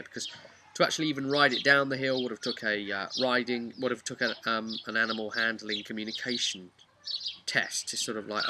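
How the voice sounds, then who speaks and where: A man working as a game master, casually recapping a tabletop role-play session in a rambling, conversational way. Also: medium pitch. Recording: clearly noisy, birdsong behind.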